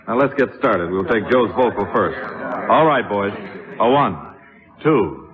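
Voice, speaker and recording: An actor in a 1950s radio drama playing a brisk, bossy dance-band leader with a commanding, businesslike manner. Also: male voice, fairly low pitch, expressive, long reverb tail, a crowd in the background. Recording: muffled vintage radio with orchestra music.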